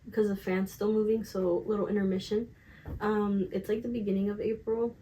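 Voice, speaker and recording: A young woman with a slightly raspy, medium-pitched voice talks as a vlogger. Her delivery is casual and confessional, candid and slangy, with emphatic stress on her points. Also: little pitch movement, a little fast, dark tone, slight background noise, poor audio. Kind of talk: casual confessional chat to camera.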